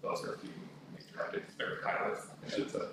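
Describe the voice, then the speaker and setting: A man with a Canadian accent, a communications manager, briefing a town council and summarizing points in a measured way with fillers. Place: large council chamber. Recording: echoey room from far off, background noise.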